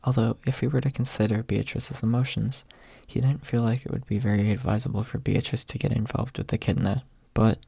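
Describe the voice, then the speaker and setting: A man narrates a story in a soft ASMR manner. His voice is gravelly and husky, sitting at a medium pitch. The sound is close and dry, with very little room in it. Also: fairly even intonation, brisk, no echo. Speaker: audiobook narrator.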